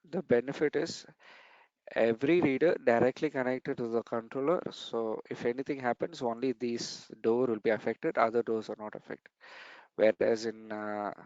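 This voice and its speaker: A man's voice: mid-range voice, darkish tone, no room sound, muffled recording. A man giving a technical training lesson over slides, explaining step by step and repeating key points.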